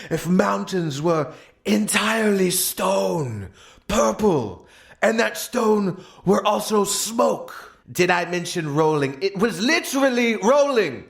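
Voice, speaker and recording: High-pitched, theatrical man telling an absurd story. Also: creaky. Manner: theatrical, exaggerated delivery with shouted emphasis. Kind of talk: comedic scripted narration of an absurd tale.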